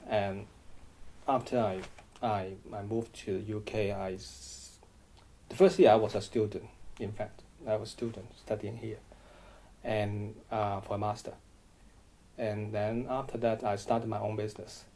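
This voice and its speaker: Middle-aged man from Hong Kong, halting interview answers, low and warm. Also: level tone. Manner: hesitant, frequent restarts and repeated words. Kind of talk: oral history interview.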